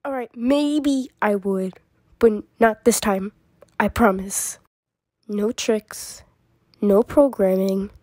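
A woman's voice: mid-range voice, takes it slowly, darkish tone, no echo. A woman voicing a smug genius inventor turtle in a cartoon comic dub, dry and theatrically formal. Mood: sly. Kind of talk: fan comic dub acting.

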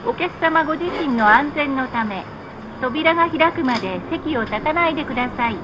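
A woman reading scripted stop announcements on a bus in a measured, formal, polite manner. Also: high-pitched, expressive. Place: played over speakers inside a moving bus. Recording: muffled, noisy recording inside a bus. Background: bus engine and road noise.

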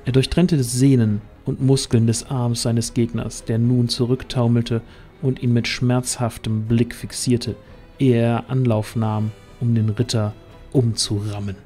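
A male narrator with a mellow, warm voice of medium pitch. He reads a dramatic fantasy story as scripted narration. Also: level tone, unhurried, soft background music.

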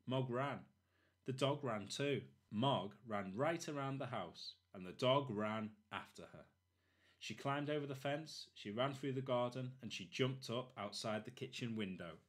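A man narrating a children's storybook expressively, in short, deliberate phrases. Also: mid-range voice. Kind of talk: expressive children's read-aloud.

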